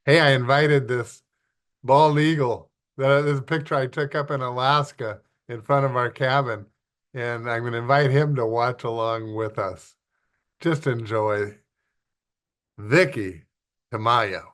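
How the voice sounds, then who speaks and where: A man with a medium-pitched voice hosts a television show in a casual, chatty style. His manner is folksy and enthusiastic, with frequent exclamations as he introduces what is coming up. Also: steady tone, measured pace, fairly bright tone. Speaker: TV show host.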